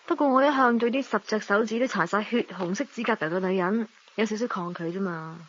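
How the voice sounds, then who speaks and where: A woman's voice: medium pitch, fairly quick, highs cut off, lightly acted. An actress playing a spirited, teasing young woman with a barb under her politeness, in a Hong Kong Cantonese radio drama. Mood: sulky. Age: young adult. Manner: teasing, pert, pointedly sarcastic.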